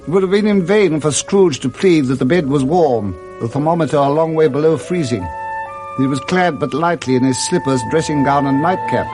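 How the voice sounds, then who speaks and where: A man narrating a classic story for 1960s radio in a measured, theatrical storytelling manner. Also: a little high. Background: music.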